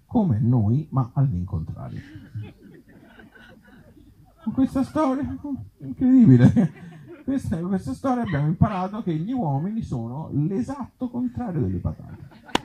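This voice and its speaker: A man from Italy reading absurd short comic stories aloud to a live gathering, with deadpan humour and offhand, hesitant asides. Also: high voice, a little slow, pauses often, slight background noise, low-quality recording. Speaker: author and actor reading his comic tales at a live event.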